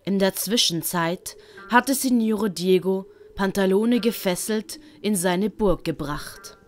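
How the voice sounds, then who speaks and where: A woman's voice: mid-range voice, slow. A woman narrating a scripted audio story. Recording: loud music and storm effects behind.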